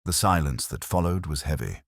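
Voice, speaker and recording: A man narrating a mystery story in a measured, storytelling manner. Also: rumbling low, expressive, vocal fry, a bit bright, no echo, studio quality.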